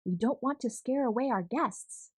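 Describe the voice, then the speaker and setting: A woman as a calm kind aunt. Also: a little high, normal speed, husky, no room sound, clean studio sound. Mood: gentle. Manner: gentle, explaining.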